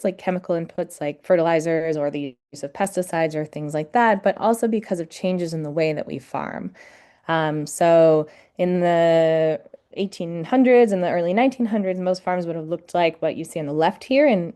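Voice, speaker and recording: A woman's voice: lowish voice, moderate pace, dark tone. A woman from New York City giving an informal academic webinar lecture over a video call, with frequent fillers. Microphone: computer mic on a video call.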